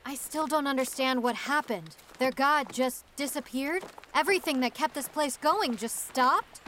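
A high-voiced, concerned professor. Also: female voice, moderate pace, sharp tone, slight background noise. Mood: puzzled. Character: concerned, composed female professor, former partner of the anthropologist. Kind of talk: audio drama acting.

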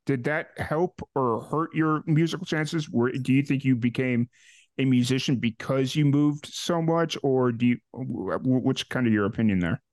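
A man's voice: medium pitch, moderate pace, dry recording. Guy hosting a podcast, easygoing and rambly, dry close sound. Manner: relaxed, many "uh" fillers and trailing "so". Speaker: podcast host. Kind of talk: casual interview.

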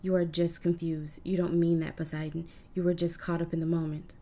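A woman's voice: fairly low pitch, flat delivery, brisk, natural voice. Medusa, a woman murmuring as a proud cursed gorgon. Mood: dismissive. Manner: hushed, breathy poetic recitation.

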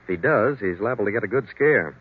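A man's voice: fairly low pitch, lively intonation, breathy edge, no room sound. An actor playing a confident, reassuring young man with a breezy manner in a 1940s style radio mystery. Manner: casual, confident, brisk. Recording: noisy, tinny vintage radio recording.

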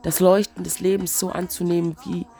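A woman with a cold talks slowly in a casual spiritual vlog, her voice stuffy and wheezing. Her tone sits a little low and turns gravelly and fried, as she searches for words in an unhurried, reflective way. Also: level tone, dark tone, soft background music. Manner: slow, searching, reflective musing. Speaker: vlogger.

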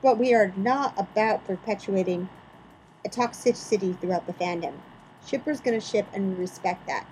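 A woman's voice: mid-range voice, moderate pace, darkish tone, a little hiss or hum, low-quality recording. A woman co-hosting a podcast, running segments and reading a recap in a mock-dramatic, theatrical way. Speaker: podcast co-host.

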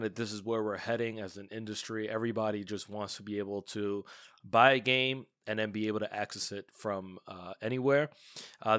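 A man's voice: mid-range voice, fairly bright tone, dry recording, clean studio sound. A man with a congested, stuffy voice from a cold, hosting a casual gaming podcast and talking with lots of fillers and restarts. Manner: chatty, many fillers and restarts. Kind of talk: casual solo podcast commentary.

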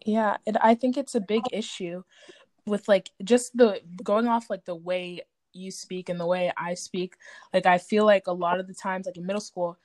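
A young woman talking candidly in a group discussion, in an informal, halting, reflective way with frequent "like". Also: medium pitch, fairly even intonation, a little mellow, dry recording.

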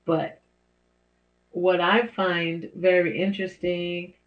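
A woman's voice: level tone, takes it slowly. A middle-aged woman hosting her own show, talking in an encouraging, preacherly way with small laughs. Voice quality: deep for a woman. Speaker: host. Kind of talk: inspirational talk show monologue. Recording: dull lo-fi podcast audio.

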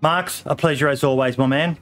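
A middle-aged man hosting a casual podcast, his voice a little hoarse, easygoing and full of ums and "mate". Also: fairly high pitch, fairly even intonation, fairly quick, fairly bright tone, faint music behind. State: slightly hoarse. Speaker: podcast host.

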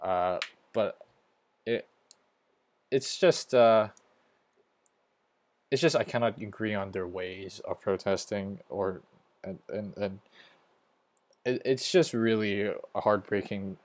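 A man's voice: on the low side, takes it slowly, long pauses, dry recording. A young man from Hong Kong with an American accent, hosting a podcast and ranting casually, hesitant and stumbling, restarting his sentences often. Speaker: podcast host. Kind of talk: casual opinion rant.

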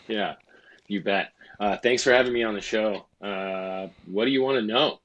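A man's voice: lowish voice, moderate pace, lo-fi sound. A forty-year-old man, a podcast guest, talking in an easygoing, friendly way. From western United States. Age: 40s. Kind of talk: casual podcast chat.

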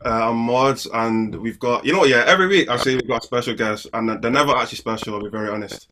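A man's voice: mid-range voice, fast talker, bright, forceful tone, a little hiss or hum, poor audio. A young man co-hosting a podcast, laid-back and teasing. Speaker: podcast co-host. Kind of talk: casual chat.

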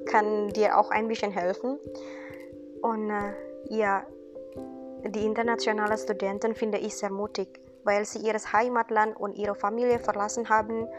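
A young woman from Indonesia speaking German with an Indonesian accent, giving an earnest, encouraging podcast monologue in halting non-native phrasing. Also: mid-range voice. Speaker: podcast host, student. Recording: music bed behind voice.

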